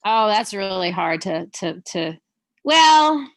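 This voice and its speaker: A middle-aged woman playwright talking in a casual, halting way, with frequent restarts and laughs. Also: mid-range voice, very animated, no echo. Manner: chatty, halting, laughs often. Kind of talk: casual conversation.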